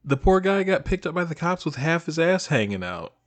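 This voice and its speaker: A man acting as a shy, nostalgic old high school best friend, talking casually and hesitantly to the listener in an intimate audio roleplay. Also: big pitch swings, fairly quick. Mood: fond, amused. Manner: hesitant, casual, soft-hearted, trailing off.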